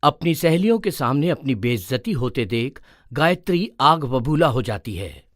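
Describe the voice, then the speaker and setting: A man reads scripted story narration in a mid-range voice. His intonation is very lively as he tells the tale, and the recording is dry, with no room sound. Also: medium pitch, moderate pace, dry recording.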